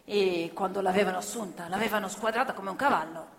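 A woman's voice: medium pitch, quick pace. A woman storytelling dramatically in radio theatre as an actor, with pointed, emphatic delivery. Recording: reverberant hall, live stage performance.

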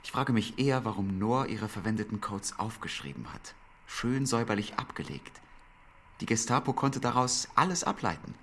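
An actor playing a sardonic, exasperated intelligence officer, musing aloud in a German radio drama. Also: male voice, on the low side, very animated, normal speed, fairly bright tone, a little hiss or hum. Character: brooding, exasperated male British intelligence officer.

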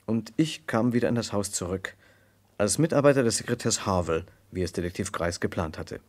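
An actor playing an earnest, gallant gentleman who narrates and investigates in a German radio crime drama, with a formal, polite manner. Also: on the low side, brisk, natural voice. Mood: grave narration.

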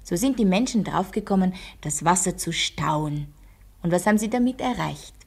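An actress playing a brisk, didactic schoolteacher who quizzes her class in a radio drama. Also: on the low side, animated, creaky, darkish tone, breathy edge, exaggerated voice. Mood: instructive. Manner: didactic, leading questions, firm.

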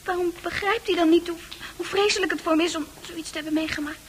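A woman's voice: very high-pitched, steady tone, quick pace, slight background noise. A woman playing a bewildered young psychiatric patient in a radio drama, anguished and stammering as she repeats herself. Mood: confused, hesitant.

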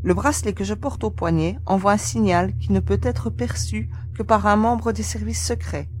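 A woman playing a cautious, cool-headed young secret agent in a science-fiction audio drama, explaining in a measured way. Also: mid-range voice, darkish tone, a little hiss or hum, close to an everyday voice. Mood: firm explaining.

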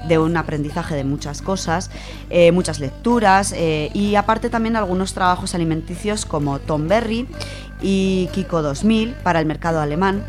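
A woman with a Spain Spanish accent presenting an informal radio segment, chatting to listeners with familiar, colloquial asides. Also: lowish voice. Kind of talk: informal talk from notes, biographical radio segment. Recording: music playing behind the voice. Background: music.

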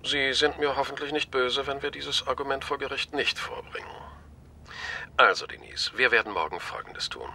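An actor playing a shrewd media boss in a radio drama, polite but pointed, wry and authoritative. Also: male voice, medium pitch, moderate pace, bright, forceful tone, slight background noise. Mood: wry, decisive. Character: brisk, shrewd male media boss, wryly amused, decisive.

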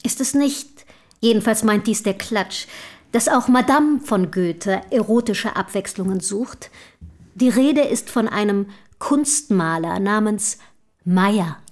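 A woman's voice: mid-range voice, expressive, takes it slowly, a little mellow. An actress in a German radio play playing a passionate, bold young literary woman, with theatrical, pointed diction. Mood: needling, boastful.

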